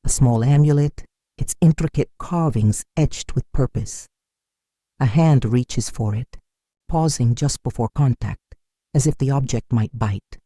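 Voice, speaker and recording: Mellow, solemn storytelling narrator in a dry studio. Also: mid-range voice, unhurried, no room sound. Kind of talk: scripted storytelling narration of a dramatic folktale.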